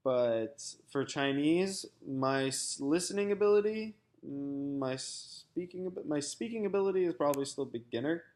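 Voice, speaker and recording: A young American man, a graduate student, giving a recorded personal statement in a halting, thoughtful way with many fillers and restarts. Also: on the high side, animated, darkish tone. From United States.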